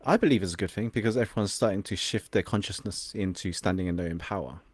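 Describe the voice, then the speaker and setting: A man's voice: low voice, fast, breathy edge, no room sound. A young man from England whose first language is Cantonese, a confidence coach speaking reflectively as a podcast guest, sometimes searching for words. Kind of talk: podcast interview.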